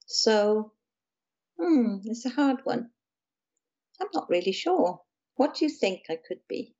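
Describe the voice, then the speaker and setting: A woman from South Wales with a South Welsh accent, talking calmly and gently as an interview guest about her music and books. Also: on the high side, takes it slowly, dull audio. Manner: gentle, calm, chatty with small laughs. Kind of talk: casual interview chat.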